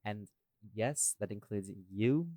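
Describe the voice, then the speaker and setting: A man's voice: on the low side, slow pace, noisy background. A man hosting a podcast in an informal, conversational way. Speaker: podcast host, voice actor. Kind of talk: casual chat.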